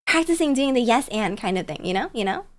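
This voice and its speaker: A woman's voice: on the high side, big pitch swings, quick pace. A woman streamer telling stories casually in a bubbly, playful, cutesy way. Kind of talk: casual storytelling chat on a livestream.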